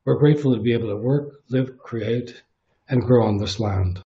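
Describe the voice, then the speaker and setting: A middle-aged man from Canada hosting a theatre interview, measured and earnest, a little halting when he talks off script. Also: medium pitch, mellow. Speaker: artistic producer and host. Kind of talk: formal introduction then conversational interview. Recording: dull lo-fi audio with faint music.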